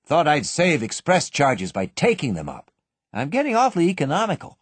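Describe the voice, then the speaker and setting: A man's voice: a little high, wildly swooping pitch, normal speed, no echo, voice pushed up. A man doing a cool young safecracker. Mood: cool wry. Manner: cool, dry, commanding.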